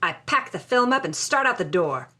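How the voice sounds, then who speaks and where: A woman's voice: medium pitch, sing-song, normal speed, sharp tone. A woman playing a sassy, hard-boiled 1950s bombshell who tells her story in a wry, unsentimental way. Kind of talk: first-person noir-style storytelling.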